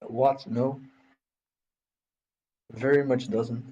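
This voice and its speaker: Dark-voiced man on lo-fi voice chat. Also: mid-range voice, level tone, extremely slow, husky. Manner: loud, joking protests. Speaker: friend in voice chat. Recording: dull, lo-fi voice chat with faint hiss.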